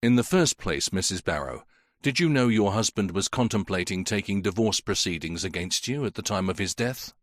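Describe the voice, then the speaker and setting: A man voicing a police inspector who questions a witness in a measured, firm way. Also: low-pitched, lively intonation. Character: stern, patient male police inspector. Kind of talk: audiobook character voice.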